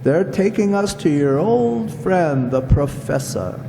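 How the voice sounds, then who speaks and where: A man's voice: on the high side, animated, slow, vocal fry, exaggerated voice. An actor playing a brash, sardonic man on the run in a radio play, with a wry, bossy manner. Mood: wry, mocking. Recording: echoey hall, noisy background.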